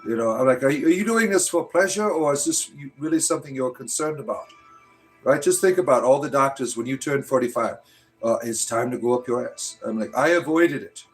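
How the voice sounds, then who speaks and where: A man's voice: medium pitch, expressive, a little fast, faint music behind, poor audio. Rambling fifty-something man on a livestream. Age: 50s. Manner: rambling, joking, full of "uh" and "right?". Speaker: livestream host. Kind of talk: casual live-chat talk answering viewers.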